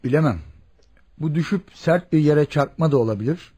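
Mellow, husky senior doctor. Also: male voice, a little high, lively intonation, a little fast. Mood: grave, pondering. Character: measured senior male hospital physician, cautious. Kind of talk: Turkish radio theatre acting.

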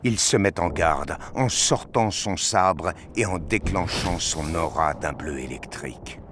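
A man's voice: low voice, background noise. A man giving a dramatic scripted narration of a science fiction action story.

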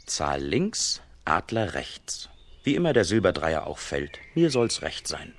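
An actor playing a good-natured young peasant wanderer in a children's radio play, with theatrical exclamations. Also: male voice, sits low, big pitch swings, a little slow, exaggerated voice. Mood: resolute, carefree.

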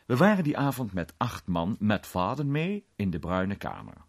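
A man's voice: fairly low pitch, wildly swooping pitch, normal speed, husky, heavily acted. An actor playing an urbane male club member who narrates a radio play with dry humour. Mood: wry, amused.

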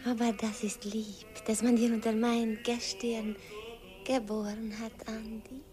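An actress playing a sweet, playful young Hungarian girl in a radio play, speaking halting German with a Hungarian accent and mixing up words. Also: on the high side, flat delivery, music in the background, natural voice. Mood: tender. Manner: broken, halting German with wrong word order.

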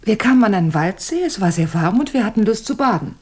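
A woman's voice: medium pitch, expressive, quick pace, a little mellow, breathy edge. An actress playing a frank, self-assured lady in a German radio crime drama, speaking candidly with a teasing edge. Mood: frank, unembarrassed.

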